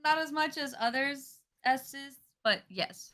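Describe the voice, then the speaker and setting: Female panelist, high and bright, wry and informal in loose conversation. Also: takes it slowly. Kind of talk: casual chat.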